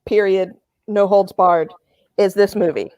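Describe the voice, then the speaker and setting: A woman's voice: mid-range voice, fairly even intonation, a little slow, darkish tone, dry recording. A woman chatting casually as a panelist, emphatic and informal in the way she talks. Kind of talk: casual chat.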